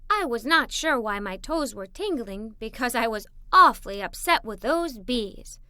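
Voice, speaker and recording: A woman narrating a children's story in an expressive, dramatic read-aloud style. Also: on the high side, measured pace, no room sound, clean studio sound.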